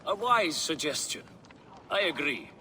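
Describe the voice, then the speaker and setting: A man's voice: fairly high pitch, very animated, measured pace, bright, forceful tone, a little hiss or hum, exaggerated voice, rough recording. A man playing a wry, genial Norse nobleman in a video game, with a courtly turn of phrase. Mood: wry, friendly. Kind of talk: video game dramatic dialogue.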